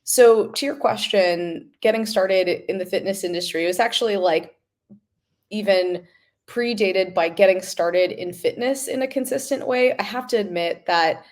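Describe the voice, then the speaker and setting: An American woman from Los Angeles answering interview questions conversationally, in a halting, thoughtful way. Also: medium pitch. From Los Angeles, United States. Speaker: podcast guest, company founder.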